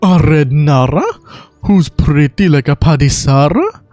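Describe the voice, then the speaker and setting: A woman's voice: low-pitched, unhurried, dark tone, no echo, soft background music. A woman voicing a timid, naive, childlike forest spirit in a video game. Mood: naive, friendly. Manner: speaks of self in third person, naive.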